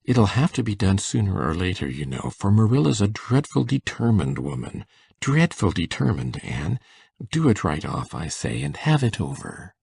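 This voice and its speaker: A man acts out a shy older farm man in an audiobook drama. His voice is gravelly and mellow with lively swings, recorded dry. He coaxes softly and haltingly, with rustic kindliness. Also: on the low side, exaggerated voice. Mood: hushed coaxing.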